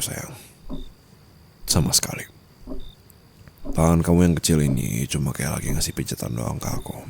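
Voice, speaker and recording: A man's voice: a bass voice, creaky, a little mellow, a little hiss or hum, exaggerated voice. A man playing a dominant, teasing rich husband in an intimate ASMR roleplay, taunting and possessive, mixing Indonesian with English.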